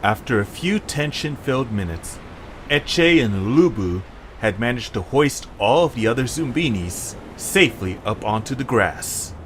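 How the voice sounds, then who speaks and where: A calm storyteller, voiced by a man, narrates an adventure tale from a script. His delivery is lower and quieter than excited talk, over faint background noise. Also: moderate pace, lightly acted. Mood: measured storytelling.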